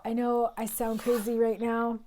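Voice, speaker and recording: A woman doing a tarot reading for her viewers, her voice raspy and hoarse while she recovers, speaking earnestly and sometimes dropping to a whisper. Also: fairly high pitch, completely flat delivery, moderate pace, mellow, a little hiss or hum. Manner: earnest and emphatic, drops to whispers, occasional cussing. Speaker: tarot reader.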